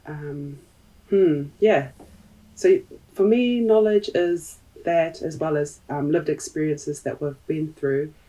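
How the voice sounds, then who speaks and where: Slow, thoughtful New woman. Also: low-pitched, mellow, recorded from a distance, low-quality recording. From New Zealand. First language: Tongan. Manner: thoughtful, pausing often with fillers. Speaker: podcast guest. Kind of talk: reflective interview talk.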